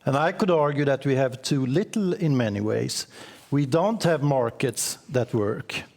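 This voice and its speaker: A man speaking as a panelist from banking in a panel discussion, in a measured, reasoned way. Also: medium pitch, vocal fry, a little mellow.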